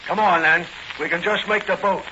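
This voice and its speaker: An actor playing a sly, suspicious, slangy crook boss in 1940s radio style. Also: male voice, high voice, steady tone, normal speed, lightly acted. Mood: suspicious, needling. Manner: slangy, tough. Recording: scratchy, muffled 1940s radio recording.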